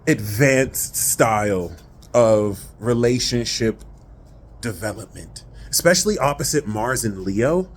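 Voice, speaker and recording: A man's voice: mid-range voice, slight background noise. A man from New Jersey talking through an astrology forecast in a casual, conversational way, with playful slang asides. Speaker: astrology reader.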